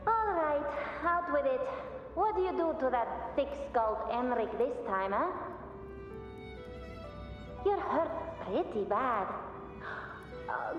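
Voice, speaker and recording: A woman's voice: high-pitched, lo-fi sound, full character voice. A woman voicing a spirited, youthful village dreamer in a video game, with an echoey, distant sound. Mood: fussing, caring, then playful. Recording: echoey, distant, long reverb.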